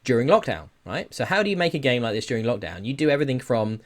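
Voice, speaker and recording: A man's voice: mid-range voice, big pitch swings, quick pace. A man hosting a podcast, chatting casually about games and news in a rambling, self-deprecating way. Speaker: podcast host.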